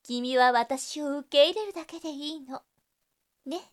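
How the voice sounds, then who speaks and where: Succubus temptress, high voice, unhurried coaxing, flirtatious, doting, audio drama. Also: female voice. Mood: teasing, enticing. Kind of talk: Japanese situation voice drama acting.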